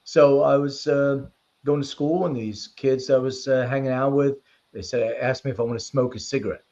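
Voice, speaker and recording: A man's voice: medium pitch, fairly even intonation, moderate pace, darkish tone. A man in a slightly muffled, lo-fi recording speaks with a mid-range voice as an interview guest. He explains things informally and leans on anecdote, in an easy conversational style. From born in Lithuania, raised in Philadelphia from age six. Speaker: interview guest, precision medicine company leader. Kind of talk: conversational interview answers and personal storytelling.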